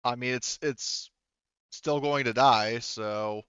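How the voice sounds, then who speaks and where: A man running a tabletop game as game master, thinking aloud in a halting, casually profane way as he talks through rules and narration. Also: mid-range voice, fairly even intonation, fairly bright tone, clean tone, no room sound, muffled recording.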